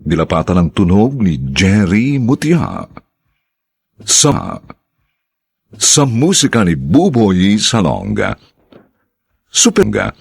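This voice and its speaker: A man narrates and announces a radio drama in a formal, dramatic manner. His low voice is gravelly and husky, and his pitch rises and falls widely as he speaks slowly. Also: huge pitch swings. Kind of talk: old-style radio announcing.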